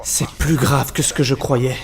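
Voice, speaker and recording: A man's voice: mid-range voice, fairly even intonation, normal speed, lightly acted. A man acting in an audio drama as a troubled religious man questioning a speaker at a conference. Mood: alarmed. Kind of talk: acted dialogue in audio drama.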